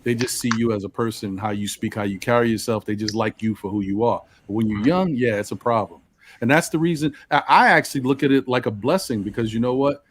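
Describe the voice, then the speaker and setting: A man with a deep voice and precise, articulate enunciation, talking reflectively about himself in a group discussion. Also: fast talker, slight background noise. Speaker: panel participant.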